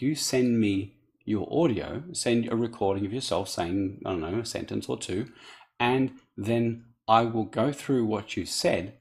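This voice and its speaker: A man speaks in a low, gravelly voice. He talks earnestly and off the cuff as a coach, breaking off and restarting his phrases. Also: a little mellow. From Australia. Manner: earnest, unscripted, restarts often. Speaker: accent coach. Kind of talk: direct-to-camera appeal.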